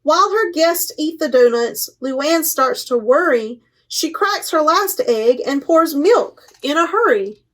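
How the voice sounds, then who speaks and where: A woman hosts a children's storytime in a high-pitched voice. She reads at a slow, deliberate pace, leaning playfully on key words for dramatic effect. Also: expressive. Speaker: children's librarian, storytime host. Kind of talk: expressive picture-book read-aloud for children.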